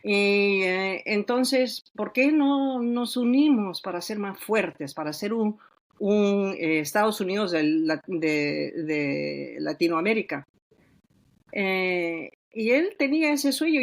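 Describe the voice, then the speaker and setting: An older woman from Peru, an author speaking in a podcast interview, thoughtful and reflective, pausing often to find her words. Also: mid-range voice. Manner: thoughtful, reflective, with frequent hesitations. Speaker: author guest.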